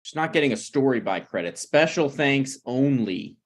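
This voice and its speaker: A man's voice: medium pitch, expressive, moderate pace, close to an everyday voice. A man reading the part of a flippant, needling, self-deprecating screenwriter in a conversational comedy table read. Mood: exasperated.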